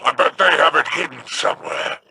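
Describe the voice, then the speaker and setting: Gravelly, scheming mercenary, wry, clear hiss in game audio. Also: male voice, a little high, a little fast. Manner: wry, calculating. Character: shrewd, stingy male mercenary, scheming. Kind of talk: video game character dialogue.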